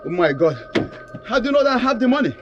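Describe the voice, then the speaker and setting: A man's voice: a little high, lively intonation, normal speed, highs cut off, natural voice. A man playing a nervous land buyer who pleads and repeats himself. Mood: terrified. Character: nervous male land buyer, terrified when robbed.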